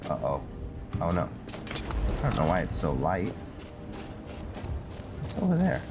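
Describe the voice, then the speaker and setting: A man with a very low voice talks his way slowly through a video game. He muses to himself in a halting, casual way, with clear music playing behind him. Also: wildly swooping pitch. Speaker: gameplay host narrating a roleplay playthrough. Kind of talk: casual game commentary, thinking aloud.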